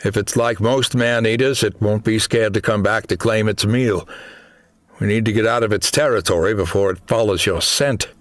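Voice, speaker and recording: A knowing jungle tracker in an audiobook thriller , voiced by a male narrator. He speaks gravely and matter-of-factly in a low voice. The recording is dry and free of room sound. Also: normal speed, no room sound, lightly acted.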